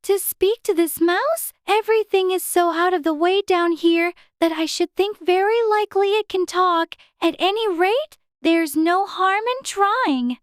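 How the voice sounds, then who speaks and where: A woman's voice: very high voice, unhurried, hardly any pauses, dry recording, studio quality, voice pushed up. A woman voicing a polite, eager little girl with an earnest, childlike manner in a dramatized audiobook. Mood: musing. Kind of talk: dramatized audiobook character dialogue.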